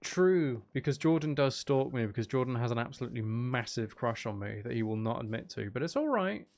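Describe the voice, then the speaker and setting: A man's voice: mid-range voice, expressive, brisk, a little mellow. A man chatting casually as he hosts a live gaming stream, with playful, teasing banter aimed at his viewers. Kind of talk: casual gaming livestream chat.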